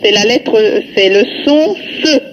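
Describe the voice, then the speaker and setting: A woman's voice: on the high side, lively intonation, measured pace. A woman on a phone call, speaking in short, insistent corrections. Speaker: prank call recipient. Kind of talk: phone conversation. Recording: noisy phone line.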